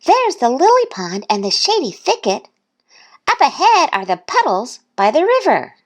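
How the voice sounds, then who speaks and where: Brief: female reader playing eager young duck, high-pitched and happily admiring, for a children's storybook. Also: wildly swooping pitch, slow, exaggerated voice. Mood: eager, friendly. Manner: enthusiastic, happy exclaiming.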